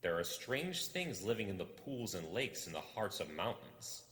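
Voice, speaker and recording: Male narrator, low-pitched and very expressive, suspenseful storybook reading with deliberate pauses, heavy reverb. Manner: theatrical, deliberate pauses, hushed at times. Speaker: storybook reader. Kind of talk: dramatic, suspenseful scripted narration. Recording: echoey, long reverb.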